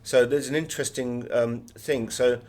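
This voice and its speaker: A man's voice: medium pitch, fairly even intonation, moderate pace, darkish tone. A man speaking as a guest author in an interview, explaining things in a discursive, anecdotal way. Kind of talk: conversational interview, telling anecdotes.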